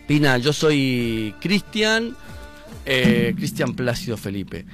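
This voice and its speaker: A man's voice: mid-range voice, very animated, takes it slowly, a bit bright. A man with an Argentine accent, speaking casually as a guest in conversation. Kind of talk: casual chat. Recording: music playing behind the voice.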